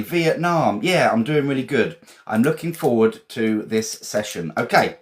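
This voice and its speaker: A man from England with an English accent, teaching a casual live vocabulary lesson in a chatty, encouraging, informal way and often repeating phrases. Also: on the low side.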